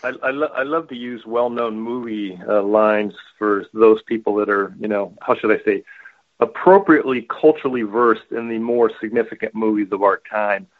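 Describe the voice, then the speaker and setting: A man's voice: on the low side, moderate pace. A wordy man who rambles and restarts as he makes his points in a casual chat. He comes through a tinny, phone-like line.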